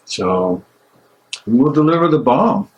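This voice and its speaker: A man in a dramatic stage reading playing a tormented, guilt-ridden German theologian, speaking haltingly and theatrically. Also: medium pitch, animated, highs cut off, natural voice. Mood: grave, troubled.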